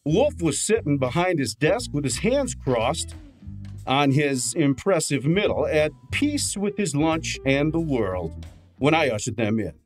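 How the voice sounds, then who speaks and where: Lively, higher-voiced brash detective's sidekick, acted by a man. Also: measured pace, faint music behind. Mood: wry narration. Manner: brash, wisecracking, informal. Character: brash, cheeky male detective's assistant. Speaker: actor and narrator in an audio detective drama. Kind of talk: old time radio style acting and narration.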